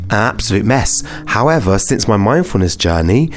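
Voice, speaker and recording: Low-pitched man, chatty podcast host. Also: big pitch swings, moderate pace. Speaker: pantomime performer. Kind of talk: casual chatty interview.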